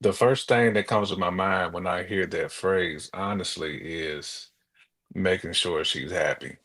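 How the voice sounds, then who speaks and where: An American man with a deep, rather husky voice co-hosts a talk show. He speaks casually, working through his opinions in everyday conversational language. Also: measured pace, fairly bright tone. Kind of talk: casual chat giving opinions.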